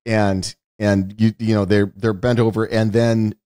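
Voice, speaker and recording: A man's voice: lowish voice, flat delivery, measured pace, dark tone, no room sound. Man chatting about films, close dry sound. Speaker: podcast co-host, television producer. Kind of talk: casual film review chat.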